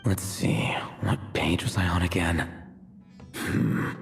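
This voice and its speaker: A deep-voiced, deadpan scholar. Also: male voice, slow pace, gravelly, in a whisper, full character voice. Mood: aloof. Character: dry, bookish, aloof man. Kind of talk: English video game voice acting. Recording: background music behind the voice.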